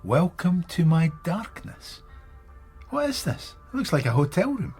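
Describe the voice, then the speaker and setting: An actor playing a weary middle-aged police detective in a radio drama, with a Scottish accent and a dry, wry manner. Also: male voice, sits high, sing-song, measured pace, darkish tone, breathy edge, faint music behind, full character voice. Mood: puzzled.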